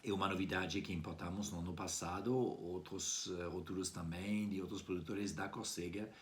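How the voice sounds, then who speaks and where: A man's voice: deep voice, fairly even intonation, moderate pace. A man from France with a French accent, a native French speaker, giving an informal wine tasting presentation with somewhat hesitant phrasing. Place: at home indoors.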